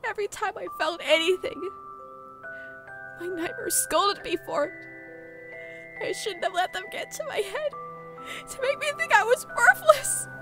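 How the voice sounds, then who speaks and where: A woman's voice: very high-pitched, a little slow, pauses often. A woman voicing a young remorseful heroine, emotional and pleading. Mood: tearful. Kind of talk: fan audio drama acting. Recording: music underneath.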